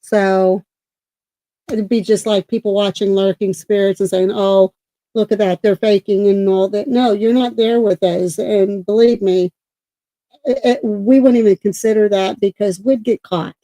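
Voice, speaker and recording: A middle-aged woman with a mellow, warm tone who talks loudly and keeps talking, her voice projecting well. She rambles through restarts as she co-hosts a casual paranormal chat show. The sound is dry and close, with little room around it. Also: medium pitch, fairly even intonation, a little slow, no room sound, poor audio. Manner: self-described talker, loud and projecting. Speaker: co-host of a paranormal talk show.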